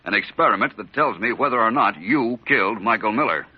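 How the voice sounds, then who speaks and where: An actor playing a witty, self-assured gentleman detective in an old-time radio drama, speaking in an urbane, dry, precise way. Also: fairly low pitch, animated, a little fast, natural voice. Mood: composed, urbane. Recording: muffled, hissy old radio recording.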